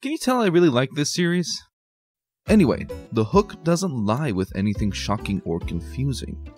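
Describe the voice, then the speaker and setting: A man presenting a scripted review in an expressive, emphatic way, with dramatic pauses. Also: medium pitch, faint music behind. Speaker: host, reviewer of fan fiction. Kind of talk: scripted video review commentary.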